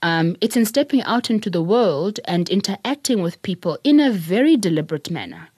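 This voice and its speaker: Middle-aged south african woman talking slow and earnest, breathy voice, dry sound. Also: medium pitch, very animated, dry recording. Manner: earnest, repeats words, laughs softly while telling stories. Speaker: guest, public speaker and speaking coach. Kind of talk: reflective interview talk.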